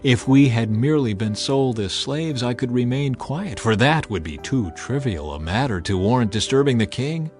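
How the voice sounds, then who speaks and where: A man's voice: medium pitch, lively intonation, moderate pace, few pauses, a little mellow, faint music behind, close to an everyday voice. A man voicing an earnest, dignified ancient queen in a formal, solemn way for a dramatized audiobook. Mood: pleading. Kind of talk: dramatized audiobook character acting.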